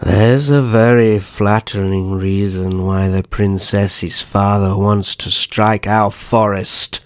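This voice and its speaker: A man playing a sly, sadistic vampire naga kidnapper in an ASMR roleplay, speaking with mock courtesy and slow theatrical menace. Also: lowish voice, steady tone, clear, resonant tone, dry recording, studio quality. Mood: smug. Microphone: close microphone, dry.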